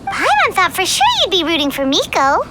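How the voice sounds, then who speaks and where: A woman voicing a tiny, cheeky, childlike fairy companion in a video game, with a squeaky, perky delivery. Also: wildly swooping pitch, fairly quick, very noisy, heavily acted. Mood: bright, eager. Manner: perky, speaks of herself in third person. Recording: music under the voice.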